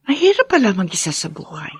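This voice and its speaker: A woman's voice: mid-range voice, sharp tone, breathy edge, heavily acted. An actress playing a lonely, earnest middle-aged woman in a Filipino radio drama, speaking with a heartfelt, sighing manner.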